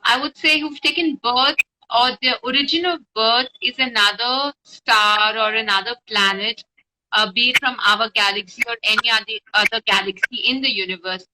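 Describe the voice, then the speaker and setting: A woman's voice: fairly high pitch, sharp tone, rough recording. A woman spiritual guide talking informally and explaining things on a live stream, recorded on a phone with faint noise. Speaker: spiritual guide and meditation teacher.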